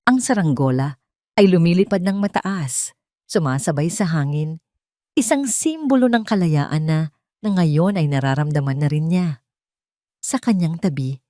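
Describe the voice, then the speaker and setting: A Filipina woman narrates a scripted dramatic story. Her voice sits a little low and is soft-edged and mellow, recorded very dry with no room sound. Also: no echo.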